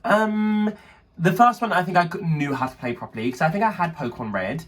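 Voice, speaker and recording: Animated, quick-talking British podcast host in their twenties. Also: mid-range voice, far from the mic. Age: 20s. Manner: chatty, campy slang. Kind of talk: casual chat.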